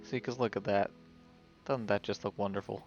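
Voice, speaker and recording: A man's voice: lowish voice, steady tone, moderate pace, muffled recording. Man thinking aloud on a game stream. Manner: thinks aloud, talking to himself. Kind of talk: casual live gaming commentary. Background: video game music.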